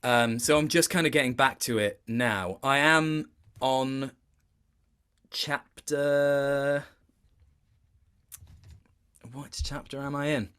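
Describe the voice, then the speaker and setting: A male voice actor talks in a relaxed interview setting. His voice sits at a medium pitch. He picks through his answers thoughtfully, hesitating and rewording along the way. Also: slow, long pauses, smooth. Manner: thoughtful, hesitant, circling back to rephrase. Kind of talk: casual interview talk.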